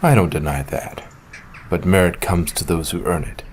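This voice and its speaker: A man playing a solemn, reserved young ninja and elder brother in an audio drama, speaking in a measured, formal, brooding way. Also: low voice, slight background noise, lightly acted. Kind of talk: fan audio drama acting.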